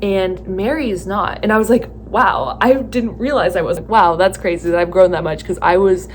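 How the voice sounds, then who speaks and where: A tired young woman from California with a Californian accent, talking slowly and thoughtfully about her stage work, with many fillers and restarts. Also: mid-range voice, a little hiss or hum. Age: young adult. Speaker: theatre student vlogger. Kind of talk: casual reflective talk to camera.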